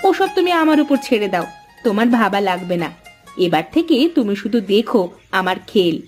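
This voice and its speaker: A woman playing a practical village wife in a cartoon folk tale, with an expressive, homely delivery. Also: mid-range voice, a little fast, lightly acted. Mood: confident. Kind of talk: cartoon dialogue voice acting. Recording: music behind the voice.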